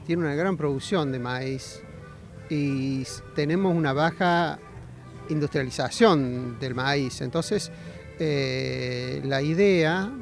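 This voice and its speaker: A middle-aged man with an Argentine accent answering interview questions outdoors into a handheld mic, with halting, hesitant phrasing. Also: mid-range voice, creaky. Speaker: agronomy faculty representative being interviewed. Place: outdoors at a busy fairground. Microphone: handheld interview microphone.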